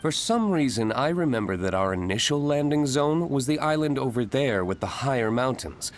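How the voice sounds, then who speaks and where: A man's voice: medium pitch, animated, normal speed, slight background noise, natural voice. A man playing a stern, brooding young nobleman in a video game, speaking in a terse, dry, skeptical manner. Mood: curt. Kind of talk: video game cutscene acting.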